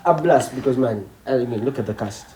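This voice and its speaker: A man's voice: mid-range voice, brisk, mellow, poor audio. A man with a Ugandan accent hosting a casual group podcast, chatting informally with his co-hosts. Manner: code-mixes English with Luganda. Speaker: podcast host.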